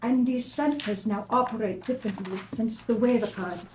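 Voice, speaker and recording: An actress playing an earnest, calm woman yoga teacher at a table read. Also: fairly high pitch, moderate pace, echoey room, slight background noise, natural voice. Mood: earnest lecturing.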